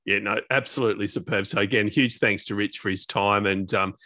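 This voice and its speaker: Low, dry-miked male podcast host. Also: fairly even intonation, fairly quick, sharp tone, dry recording. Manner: effusive, appreciative. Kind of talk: casual, conversational interview hosting.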